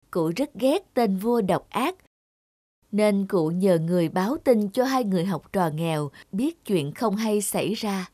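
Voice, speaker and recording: Calm woman narrating a folk tale. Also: mid-range voice, no room sound, studio quality. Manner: calm, measured storytelling. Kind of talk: storybook narration. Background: music.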